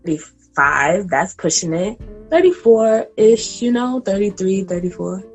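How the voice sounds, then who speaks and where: A woman in her late twenties chatting casually as a podcast guest, animated and laughing, sometimes talking straight to the listeners. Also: mid-range voice, unhurried, slightly rough, faint music behind, poor audio. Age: 20s.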